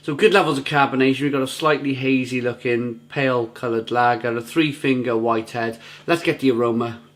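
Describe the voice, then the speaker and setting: Gruff-voiced enthusiastic beer reviewer man. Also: medium pitch, steady tone, brisk. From England. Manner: enthusiastic, savoring, chatty with repeats. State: gruff, rough throat. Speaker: beer reviewer, channel host. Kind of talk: casual review to camera.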